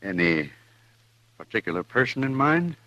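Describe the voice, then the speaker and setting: An actor playing a fussy small-town banker who speaks in a prim, nervous, overly formal way, in 1950s radio drama style. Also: male voice, mid-range voice, sing-song, moderate pace, pushed and bright, no room sound. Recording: dull, dry, lo-fi old radio recording.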